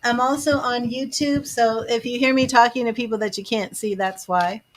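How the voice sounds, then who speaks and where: A woman's voice: a little high, steady tone, normal speed. A woman giving live tarot readings, chatting to viewers by name and musing over the cards. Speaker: tarot reader.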